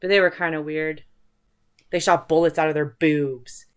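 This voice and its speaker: Low-pitched woman, casual rambling chat. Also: fairly even intonation, normal speed, smooth. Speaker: host of an online video channel.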